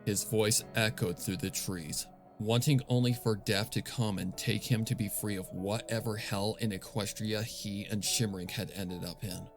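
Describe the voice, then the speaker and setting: A man narrating a dark story in a somber, dramatic reading style, with music playing underneath. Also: fairly low pitch, fairly even intonation, normal speed. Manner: somber, grave. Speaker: narrator, fan fiction reader.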